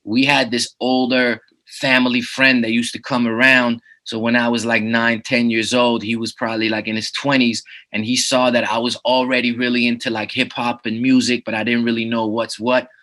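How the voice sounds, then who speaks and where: A man's voice: mid-range voice, little pitch movement, normal speed, few pauses, bright, forceful tone. A man in his thirties who grew up in the Netherlands, a rapper talking as an interview guest in a laid-back way, full of "you know what I'm saying" fillers. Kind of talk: casual interview.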